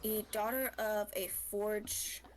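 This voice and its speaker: A woman's voice: medium pitch, steady tone, slow pace. A woman hosting a game livestream, chatting casually. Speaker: livestream host. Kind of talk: casual gaming chat. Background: clear game music.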